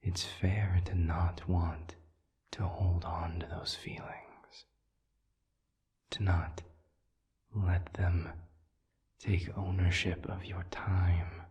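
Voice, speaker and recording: A man voicing a calm, wise demon counselor in an intimate audio roleplay, soft and breathy, speaking in measured phrases with deliberate pauses. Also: dull audio. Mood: soothing, earnest. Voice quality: breathy, whispery, very low.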